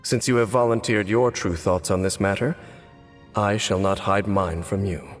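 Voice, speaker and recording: A man's voice: lowish voice, fairly even intonation, normal speed, natural voice. A man playing a cynical, aloof, centuries-old swordsman, speaking formally and sardonically in a dramatic video game. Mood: cool resolve. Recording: long reverb, music behind.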